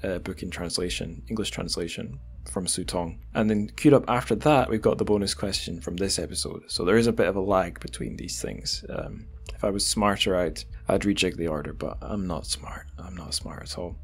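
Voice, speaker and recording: Man, podcast host, low voice, casual conversational delivery, self-deprecating. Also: normal speed, gravelly, few pauses, husky.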